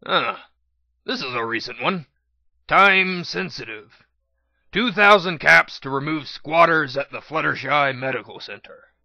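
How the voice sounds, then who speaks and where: A man's voice: on the high side, expressive, measured pace, creaky, bright, forceful tone, no room sound, highs cut off, heavily acted. A man voicing a suspicious male pony scavenger in a matter-of-fact way for a dramatic reading. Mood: calm, wary.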